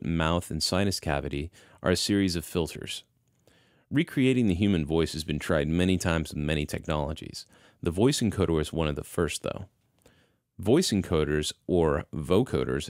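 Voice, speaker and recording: A man's voice: deep voice, dry recording, clean studio sound. A man narrating a scripted tutorial as an instructor, explaining in an easygoing way with casual, folksy asides.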